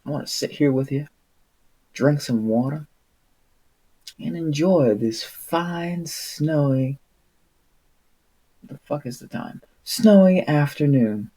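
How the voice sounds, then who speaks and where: Slow-paced, mellow-voiced man streamer. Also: fairly high pitch, poor audio. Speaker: streamer reading a book aloud. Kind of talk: audiobook-style reading and casual livestream chat.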